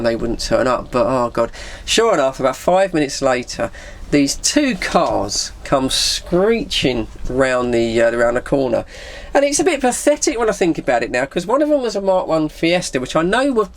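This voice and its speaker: A middle-aged man, telling an anecdote casually and animatedly, acting out the dialogue. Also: mid-range voice. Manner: animated, acts out dialogue with put-on voices. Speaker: video storyteller.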